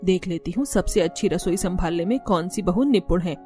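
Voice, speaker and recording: Lady doing a bossy saas in a cartoon, warm mid voice, faint music. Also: lowish voice, a little fast, close to an everyday voice. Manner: commanding, matter-of-fact. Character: stern middle-aged mother-in-law. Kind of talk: cartoon voice acting.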